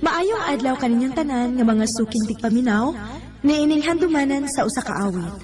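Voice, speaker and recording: A tender young Cebuano woman in love, with a high voice. She is devoted to her sweetheart, in the style of Bisaya radio drama. Faint music plays behind her. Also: expressive, brisk. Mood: wistful. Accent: Cebuano Filipino.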